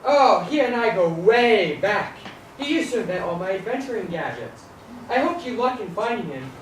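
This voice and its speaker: Male adventurer puppet, student performer, genial host manner, lively inflection, distant with faint noise. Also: medium pitch, moderate pace, close to an everyday voice. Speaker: puppeteer in a school show. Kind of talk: live children's puppet show. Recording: echoey hall, far from mic, faint noise.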